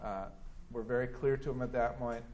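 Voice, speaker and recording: A man teaching a Bible class on a pastor's biography, reading passages aloud and commenting in a lively, conversational way with many restarts. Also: mid-range voice, fairly quick. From California. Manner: conversational, animated, acts out quoted voices, many restarts and fillers.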